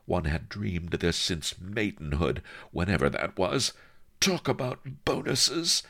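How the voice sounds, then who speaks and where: A man voicing an imperious, seductive female pirate in an audiobook, with a breathy, husky murmur and mock-archaic, coquettish speech. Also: low-pitched, big pitch swings, slow pace, bright, forceful tone, exaggerated voice. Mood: eager, yearning. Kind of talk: audiobook character voice.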